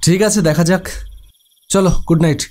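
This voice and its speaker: A man playing a practical, skeptical house owner in a cartoon horror story, speaking in a measured way. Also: high-pitched, darkish tone, natural voice.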